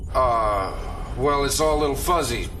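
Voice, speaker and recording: Slangy soldier underling, slightly distant. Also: male voice, medium pitch, animated, moderate pace, pushed and bright, soft background music. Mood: nervous hedging. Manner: colloquial, informal. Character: brash male soldier, slangy, evasive underling. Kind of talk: science fiction game cutscene acting. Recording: distant mic, noisy background.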